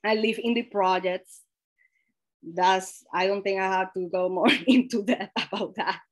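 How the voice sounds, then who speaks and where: A young woman speaks openly and personally as a workshop presenter. Her voice sits at an ordinary middle pitch, and she talks in a conversational way, restarting phrases and correcting herself as she goes. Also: fairly even intonation, unhurried. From Dominican Republic. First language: Spanish. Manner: frank and personal, with self-corrections and light laughs. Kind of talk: candid conversational workshop talk.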